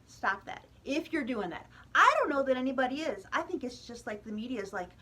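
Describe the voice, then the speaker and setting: High, bright-voiced American woman vlogger. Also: sing-song, a little fast, breathy edge. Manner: chatty, emphatic, exasperated asides. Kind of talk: casual opinion monologue to viewers.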